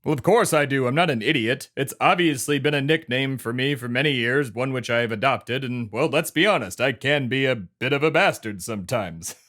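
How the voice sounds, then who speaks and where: A man's voice: mid-range voice, a little fast, hardly any pauses, fairly bright tone, lightly acted. A man putting on a pompous, self-important old-money lobbyist character with a quasi-New England accent, hosting a comedy podcast. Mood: indignant. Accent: put-on quasi-New England. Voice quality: haughty, self-important, mock-aristocratic.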